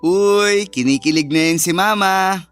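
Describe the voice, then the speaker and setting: A cheeky kid brother speaks in a high-pitched voice. He is teasing, in radio drama style, over faint music. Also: measured pace, a bit bright, clear, resonant tone. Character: cheeky, teasing youngest son. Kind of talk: Filipino family radio drama acting. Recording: faint music bed under voice.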